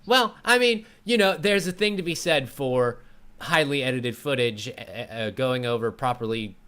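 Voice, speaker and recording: A man hosts a relaxed-format interview chat in a medium-pitched voice. He speaks conversationally and leans on his points with emphasis, offering his own views as he goes. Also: normal speed, smooth. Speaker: talk show host.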